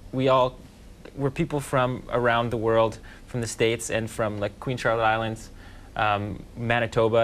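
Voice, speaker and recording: A young man from the West Coast of Canada, a guest musician chatting casually in a TV studio, relaxed and joking with lots of fillers. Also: medium pitch, a little hiss or hum. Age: young adult. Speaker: band leader, guest musician. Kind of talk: casual interview chat. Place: TV news studio.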